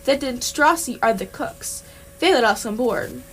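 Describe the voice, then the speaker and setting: A woman playing a calm, practical alien hitchhiker in an amateur radio play. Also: fairly high pitch, a little slow, low-quality recording. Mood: practical, explaining. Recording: clear background noise.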